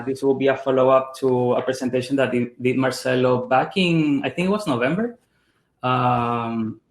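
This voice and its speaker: A young man from Ecuador hosting an online design meetup, chatty and friendly, with lots of fillers and restarts. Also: medium pitch. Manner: friendly, many fillers and restarts, mixes in Spanish greetings. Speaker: meetup host. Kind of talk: casual online meetup introduction. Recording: roomy echo on a video call.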